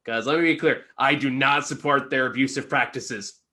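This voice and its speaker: Male review co-host, mid-pitched with a sharp bright edge, casual, sweary, self-deprecating and halting. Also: steady tone.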